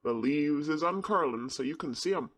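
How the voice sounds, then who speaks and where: A man's voice: a little high, animated, moderate pace. A man voicing a cheerful Yorkshire country boy who speaks in broad dialect. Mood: eager. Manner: broad dialect, eager and warm.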